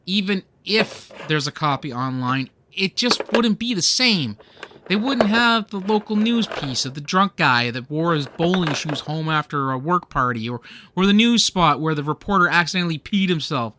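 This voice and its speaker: A man's voice: fairly high pitch, animated, normal speed, background noise, natural voice. A man playing an earnest, fussy tape-obsessed guy in a comedic radio play, with a stammering, dramatic, insistent delivery. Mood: earnest, indignant.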